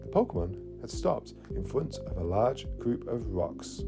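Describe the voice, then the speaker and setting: A man narrating a children's bedtime story in an expressive storytelling manner. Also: lowish voice, measured pace, gravelly. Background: faint music.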